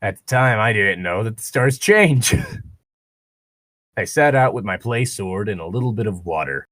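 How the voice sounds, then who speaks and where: Man putting on a fond, accented Greek storyteller, low-voiced. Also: animated, unhurried, fairly bright tone, no echo. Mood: fond reminiscing. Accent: attempted Greek, slipping toward posh English. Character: young Greek man fondly reminiscing about his childhood.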